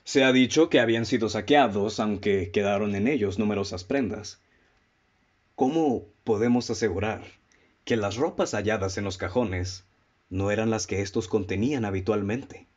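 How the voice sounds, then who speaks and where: A man with a Mexican accent playing a composed, analytical detective in a radio drama, speaking in a formal, didactic, deliberate way. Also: on the low side, natural voice. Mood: probing, methodical. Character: analytical male detective, methodical, composed, leading his listeners. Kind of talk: radio drama acting, formal literary dialogue. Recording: dull, muffled lo-fi audio.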